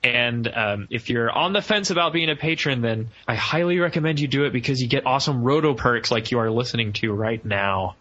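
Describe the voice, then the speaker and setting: This is a man with a bright, forward voice at an ordinary male pitch. He hosts a podcast with enthusiasm and a joking streak, moving easily between banter and review talk. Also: a little fast, few pauses, dull audio. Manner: jokey, enthusiastic. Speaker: podcast host.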